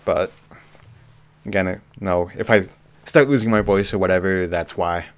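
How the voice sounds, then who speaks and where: Low-voiced man, a bit hoarse. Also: moderate pace. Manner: relaxed, frequent ums and restarts. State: slightly hoarse with a cough and sore throat. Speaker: livestream host. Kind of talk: casual live chat reading viewer comments.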